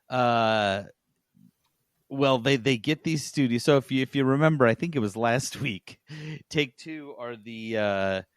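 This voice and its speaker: Man explaining haltingly, dry sound. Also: mid-range voice, no room sound. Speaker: podcast co-host. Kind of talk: casual opinionated discussion.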